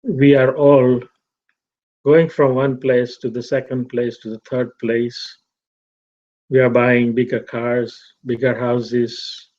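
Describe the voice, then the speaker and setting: Slow, measured middle-aged man. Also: mid-range voice, level tone, a little mellow. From Tanzania. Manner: slow, measured, repeats phrases. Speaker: fellowship speaker. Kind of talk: reflective talk. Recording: dull lo-fi recording.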